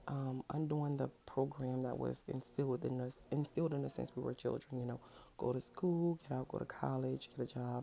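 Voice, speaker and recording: A woman in her thirties with a deep, gravelly voice, roughened by a cold. She talks in a warm, mellow tone and rambles as she goes, dropping in ums and checking in with "right?" A washing machine hums faintly behind her at home. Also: a little hiss or hum. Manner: loose, rambling, with many ums and rhetorical "right?". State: under the weather. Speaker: spiritual vlogger. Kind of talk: casual direct-to-camera message. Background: washer running, children fussing at times.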